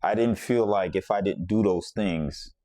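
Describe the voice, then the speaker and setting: Low-voiced man telling his own story. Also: measured pace, dark tone, no room sound, studio quality. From Bahamas. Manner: reflective, conversational, circles back to repeat points. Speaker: podcast host. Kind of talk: personal storytelling monologue.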